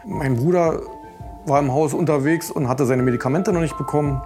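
A man's voice: fairly high pitch, fairly quick, music in the background. A man recollecting events as a relative in a documentary interview. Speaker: interviewee, son of the victim.

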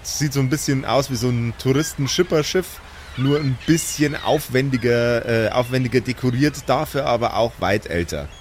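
A man's voice: medium pitch, normal speed. German guy, average voice, chill slangy skipper in an rpg, light hiss. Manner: easygoing, casual, slangy. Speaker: player voicing a small-boat skipper. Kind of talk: improvised roleplay and scene description.

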